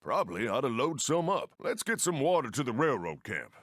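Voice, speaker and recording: A friendly frontier railroad engineer from a video game, with an American accent and a folksy, colloquial way of talking. His pitch is mid-range and swings very widely as he talks. The recording is dry and close, with no room sound. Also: big pitch swings, brisk, fairly bright tone, no echo. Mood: helpful, earnest. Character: friendly folksy Old West steam train engineer. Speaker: mission-giver game character. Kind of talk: animated video game voice acting.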